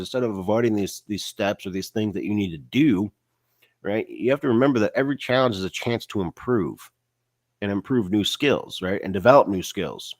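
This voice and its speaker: A man's voice: on the low side, dry recording, clean studio sound. A man with a cold, sounding stuffy and nasal, giving a casual faith-based motivational talk full of "you know" and "right?" fillers. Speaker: online business vlogger.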